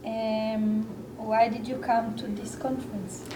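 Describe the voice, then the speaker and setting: Woman, interviewer, slow hesitant questions, distant, echoey noisy room. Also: fairly high pitch, level tone. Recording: distant, roomy, noisy mic pickup.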